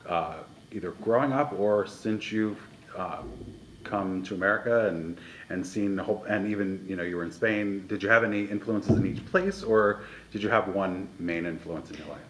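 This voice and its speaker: Male interviewer, low voice, relaxed and teasing. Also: normal speed, slight background noise, poor audio. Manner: easygoing, laughs along, teasing. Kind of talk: casual interview questions.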